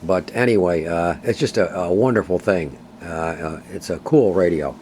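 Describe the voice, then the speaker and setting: A man's voice: low voice, normal speed, slight background noise. An older man, a longtime radio collector, talking casually with many hesitations as he shows an antique radio at home. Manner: relaxed, folksy, many uhs. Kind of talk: casual show-and-tell.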